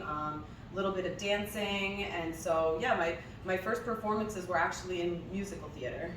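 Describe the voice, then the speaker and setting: Chatty singer in an echoey room. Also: female voice, on the low side, distant mic, noisy background. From New Jersey, USA. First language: Hebrew. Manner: chatty, laughs often, thinks aloud with ums. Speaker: singer being interviewed. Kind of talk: casual interview chat.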